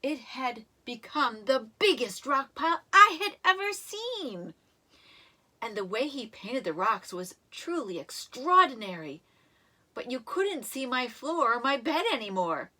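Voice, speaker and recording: Lively, high-voiced woman reading a storybook. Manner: expressive, dramatic emphasis with drawn-out words. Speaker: storybook reader. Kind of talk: animated children's read-aloud narration.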